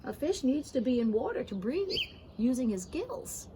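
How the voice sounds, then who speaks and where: A woman reading a children's story aloud expressively, pausing for gentle asides to young listeners. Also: high-pitched, very animated, noisy background. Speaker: storybook reader.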